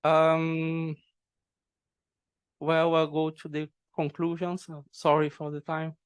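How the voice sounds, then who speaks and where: A man answering audience questions after his conference talk, hesitantly and with frequent pauses. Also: fairly high pitch, completely flat delivery, clear, resonant tone, dry recording. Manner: hesitant, many fillers and restarts. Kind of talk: academic Q&A.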